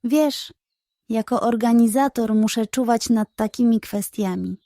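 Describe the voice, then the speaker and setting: A woman voicing a sly, scheming, excitable male pug in a children's storybook, with a theatrical, flattering manner. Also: fairly high pitch, unhurried, no echo, studio quality. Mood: improvising, officious.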